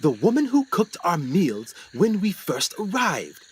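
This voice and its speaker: An actor playing a haunted, educated explorer doctor in a radio drama, speaking formally and theatrically with grave anxiety. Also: male voice, sits high, wildly swooping pitch, a little slow, slightly rough, exaggerated voice. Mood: urgent, ominous. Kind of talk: dramatic 1920s adventure radio acting.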